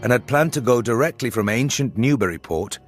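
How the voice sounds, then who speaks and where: A man reading as a formal, grave young antiquarian narrator in a horror audiobook, in a measured literary manner. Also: medium pitch, animated, natural voice. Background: music.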